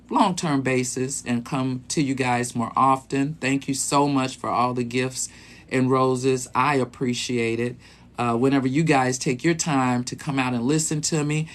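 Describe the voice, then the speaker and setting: A man in his late fifties who hosts a live stream as a sexologist, chatting casually with viewers in an easygoing, rambling way with lots of ums. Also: medium pitch. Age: 50s. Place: small bedroom closet.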